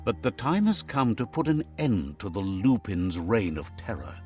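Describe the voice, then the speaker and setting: A low, lively storybook narrator. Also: male voice, moderate pace, faint music behind. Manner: relays and translates what creatures say in a calm, kindly storyteller way. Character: grown male storybook narrator, calm and wise. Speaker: game narrator.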